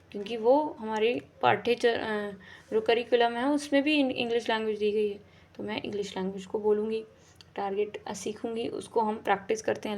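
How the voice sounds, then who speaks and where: A woman with a slightly raised pitch explains an exam topic in a relaxed classroom manner. She circles back, rephrases each point and keeps checking that her listeners follow. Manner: repeats "ठीक है" and rephrases often, with fillers. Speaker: teacher. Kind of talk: exam-prep lesson explaining in Hindi mixed with English.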